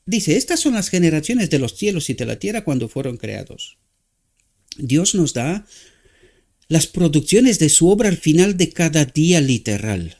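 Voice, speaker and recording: A man with a Romanian accent preaches in Spanish, his second language. His voice sits fairly high and swings widely in pitch. He halts to search for words and keeps asking his listeners to agree. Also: very animated, a little mellow. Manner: halting, searching for words, frequent rhetorical "¿verdad?".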